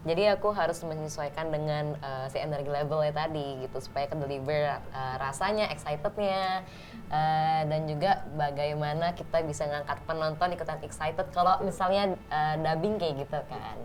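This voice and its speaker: A woman's voice: low voice, steady tone, normal speed. A woman who is a guest actor, explaining her preparation earnestly, with fillers. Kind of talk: casual interview chat.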